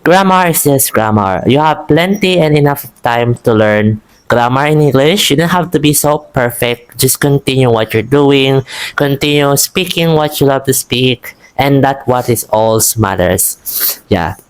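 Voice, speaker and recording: A man's voice: medium pitch, unhurried, clean tone, rough recording. A young man from the Philippines with a Filipino accent, chatting casually and encouragingly to camera about learning English, rambling with frequent restarts. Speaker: vlogger.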